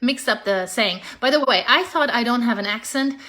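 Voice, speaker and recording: Chatty German-accented woman, higher voice. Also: normal speed, bright, forceful tone. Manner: chatty, upbeat, persuasive, with small slips and fillers. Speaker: real estate agent. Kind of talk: casual direct-to-camera market update.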